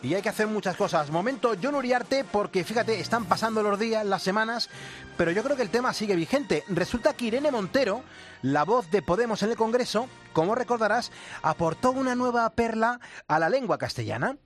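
A man hosting a morning radio show, brisk and jokey with his co-host. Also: high voice, lively intonation, soft background music. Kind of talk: lively radio chat. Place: radio studio.

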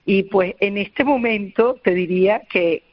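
A woman's voice: medium pitch, unhurried. A woman from Venezuela with a Venezuelan accent, answering earnestly as a radio interview guest and explaining things with repeated phrases for emphasis. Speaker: interview guest, volunteer psychologist. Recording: dull, band-limited radio call.

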